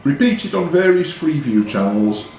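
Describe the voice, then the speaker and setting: A man serves as quiz master, reading questions and calling on players. His voice sits slightly high and moves around a lot in pitch, in a very reverberant room. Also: sing-song, measured pace, darkish tone, a little hiss or hum. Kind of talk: reading quiz questions aloud and ruling on answers. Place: quiz tournament in a pub. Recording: very echoey room with pub crowd.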